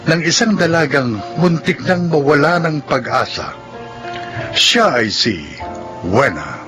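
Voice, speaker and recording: A man's voice: a little high, lively intonation, takes it slowly. A man hosting and narrating a radio drama in a scripted, dramatic style. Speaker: host and narrator. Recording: muffled radio track with music. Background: music.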